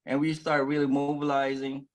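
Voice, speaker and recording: A man of about forty from the Philippines gives an earnest, excited personal testimonial straight to a phone camera, often restarting his sentences. He is recorded close up in a small indoor room. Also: mid-range voice, normal speed, poor audio. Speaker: network marketing member sharing his story. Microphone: phone camera at close range.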